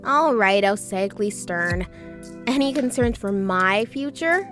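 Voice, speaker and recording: A woman's voice: mid-range voice, expressive, slow, fairly bright tone, soft background music. A woman voicing a classy, teasing female cop in a comic dub, wry in manner. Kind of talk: dramatic comic-dub acting.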